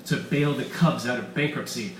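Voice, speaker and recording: A man's voice: medium pitch, normal speed, lightly acted. A man voicing a gloating, grandiose mad-scientist villain in a live story reading, with pompous, theatrical delivery. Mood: smug boasting. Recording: roomy echo, distant mic, faint room noise.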